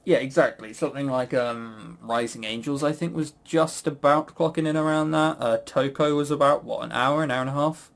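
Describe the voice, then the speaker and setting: A man's voice: mid-range voice, moderate pace, vocal fry, few pauses. A British man hosting a casual podcast, talking in a rambling, blunt, sweary way with frequent stammered false starts. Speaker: podcast host. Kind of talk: casual opinionated chat.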